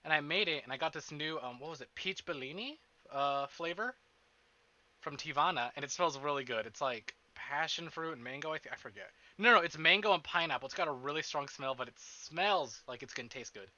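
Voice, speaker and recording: A man with a very bright, forceful voice, pitched a little high. He hosts a livestream in a chatty, animated way, often interrupting himself and swearing playfully. The audio is slightly dull and lo-fi. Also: fairly quick, no room sound. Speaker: streamer, host of a visual novel stream. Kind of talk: casual livestream chat to viewers. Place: indoors at a desk.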